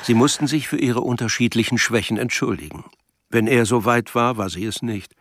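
A man's voice: mid-range voice, moderate pace, slight background noise. A man reading literary scripted narration for a radio drama. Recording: music behind the voice.

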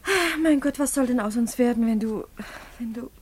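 An actress playing a naive, tender and anxious wife in a radio play, gentle and a little hesitant. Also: female voice, on the high side, moderate pace, a little hiss or hum, close to an everyday voice.

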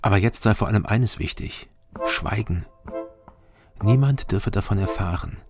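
Haunted, gravelly middle-aged man over music. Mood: bitter recollection. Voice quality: low, gravelly. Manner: subdued, halting, weighty short phrases. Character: haunted East German man, a tormented former border guard. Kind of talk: radio drama inner monologue. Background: instrumental music.

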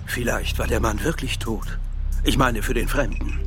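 An actor playing a reflective, philosophical man in a radio crime drama, arguing in a pensive, articulate and measured way. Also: medium pitch, lightly acted. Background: faint background music.

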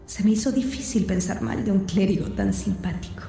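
Una actriz que interpreta a una dama astuta, elegante y burlona que lee una carta en una radionovela, con eco. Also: female voice, mid-range voice, fairly even intonation, fairly quick, gravelly, warm tone, faint music behind, close to an everyday voice. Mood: poised, teasing. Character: clever, poised lady adventuress, elegantly teasing. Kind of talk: radio drama letter reading. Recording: roomy echo on the voice.

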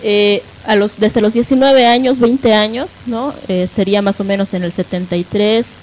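A woman presenting on a live radio talk show, halting and often adding tag questions to seek agreement. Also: mid-range voice. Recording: noisy live radio with steady hiss.

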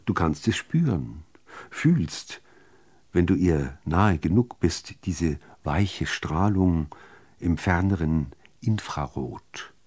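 A man reciting literary poetry in deliberate, measured phrases with pauses between them. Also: deep voice, lively intonation, a little mellow, breathy edge. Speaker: reader of poetry.

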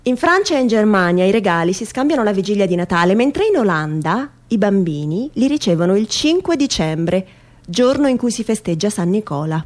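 Woman, stage performer, medium-pitched voice, wry and mock-earnest, expressive reading of a humorous monologue. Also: a little fast. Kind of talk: theatrical reading of a comic first-person essay.

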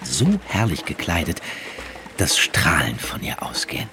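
A man narrates a literary radio drama from a script. His voice is deep, with wide intonation, and his delivery is measured and descriptive. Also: sing-song, music in the background.

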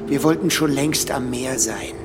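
A man's voice: mid-range voice, a little slow, lightly acted. A man playing a dismissive, grumbling government official in a radio drama, talking casually. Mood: irritated, dismissive. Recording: loud music behind the voice.

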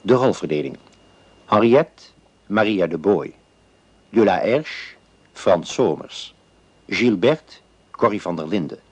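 A man reading radio drama credits as an announcer, in a formal, measured and deliberate way. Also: low-pitched, expressive, darkish tone, husky, no room sound.

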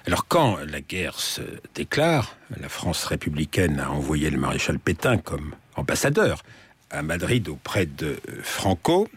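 A male radio host with a very low, husky voice steers a history interview. His pitch moves in a lively way as he frames archive clips and presses his guest with measured questions.

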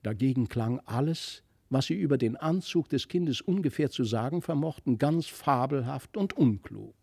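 A man giving an expressive, scripted narration of a literary fairy tale. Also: medium pitch, normal speed, gravelly, slightly rough, no room sound. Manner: expressive storytelling. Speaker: audiobook narrator.

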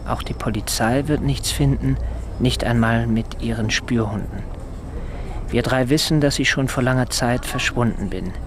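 An actor playing a brooding, bookish tutor in a radio drama, speaking in a deliberate, measured way. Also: male voice, medium pitch, noisy background, close to an everyday voice. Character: brooding literary tutor secretly writing a missing boy's letter. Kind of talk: radio drama acting and narration.

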